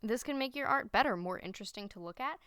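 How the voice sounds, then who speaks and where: A woman giving a relaxed voice-over, explaining her painting steps as she thinks aloud. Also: a little high, fast, smooth, dry recording, studio quality. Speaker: art instructor. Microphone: voice-over recorded separately.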